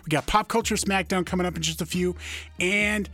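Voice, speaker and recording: A hoarse male radio host in a rambling, casual chat, full of fillers and asides. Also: high-pitched, steady tone, brisk, bright, forceful tone, soft background music. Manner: rambling, filler-heavy, self-deprecating.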